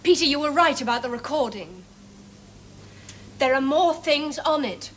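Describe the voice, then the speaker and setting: An anxious young woman scientist in a 1970s TV drama. Her high, expressive voice is earnest and haunted, with slight noise on the old soundtrack. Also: moderate pace. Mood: insistent. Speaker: actor in a television drama. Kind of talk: 1970s British television drama acting.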